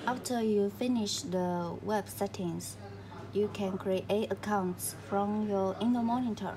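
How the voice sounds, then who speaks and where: A woman narrates a how-to tutorial at a very slow pace, one short step at a time. Her pitch is average for a woman and her phrasing is simple and halting. The recording has clear background noise. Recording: clearly noisy recording with room ambience.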